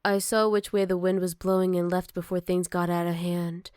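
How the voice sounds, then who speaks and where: A woman voicing a gentle, motherly older werewolf, wistful and protective, in a soft and caring ASMR roleplay. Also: on the low side, little pitch movement, smooth, no echo, studio quality, natural voice. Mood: wry, reflective. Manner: soft, caring, unhurried, slipping into whispers. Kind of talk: ASMR roleplay, intimate one-sided dialogue to listener.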